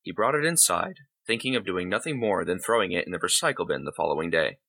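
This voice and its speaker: A man's voice: deep voice, animated, fast talker, pushed and bright, husky. A man reading a short story aloud as scripted narration. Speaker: story reader.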